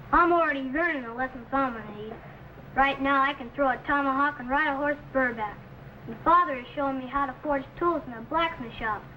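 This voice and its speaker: A woman's voice: high-pitched, bright, forceful tone. A woman playing an eager, earnest young boy who dreams of exploring, in an old-time radio adventure. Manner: earnest, protesting, boyish. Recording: slightly noisy old radio recording.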